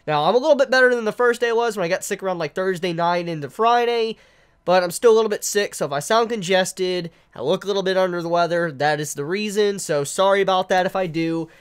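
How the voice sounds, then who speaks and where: A man's voice: sits high, fast talker, smooth. A young man in his twenties, congested with the flu, reviewing a manga chapter to camera from his living room, rambling and stammering as he sounds out made-up words. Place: living room with couch, indoors.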